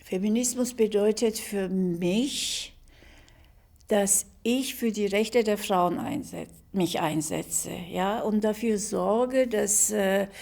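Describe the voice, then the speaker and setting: Slow, halting woman in her seventies. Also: mid-range voice, gravelly, darkish tone. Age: 70s. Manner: slow and halting, many fillers. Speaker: interviewee. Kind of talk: reflective interview clip.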